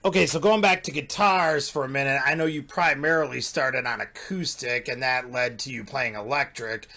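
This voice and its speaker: A man's voice: medium pitch, normal speed, bright, forceful tone. A man hosting an interview, asking questions in a friendly, enthusiastic way. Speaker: interviewer, host.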